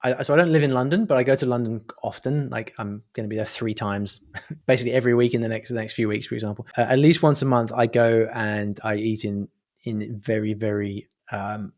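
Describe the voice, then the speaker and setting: A man's voice: medium pitch, fairly even intonation, brisk, a little mellow. A man speaking casually as a podcast guest, thoughtful and wordy, circling back as he explains things. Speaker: podcast guest, online business owner. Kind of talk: casual interview conversation.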